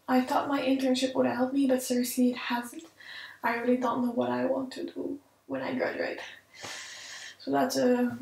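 A woman's voice: high voice, creaky, a little mellow, distant mic. A young woman in her twenties from Quebec with a French-Canadian accent, speaking English as a second language in an earnest, hesitant casual vlog from her home office, with frequent ums as she searches for words. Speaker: tech vlogger. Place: home office with desk and computers, indoors. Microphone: camera mic at desk distance. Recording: echoey room, mic set back.

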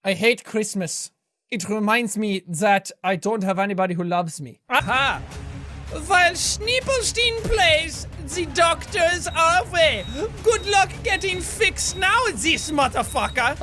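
A man's voice: very high voice, very animated, unhurried, bright, forceful tone, faint music behind, heavily acted. A man playing a cocky, foul-mouthed, manic quack surgeon with a mock German accent, theatrical and erratic, in comedic video game commentary. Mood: boastful, gleeful. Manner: theatrical, boastful, swearing, erratic outbursts.

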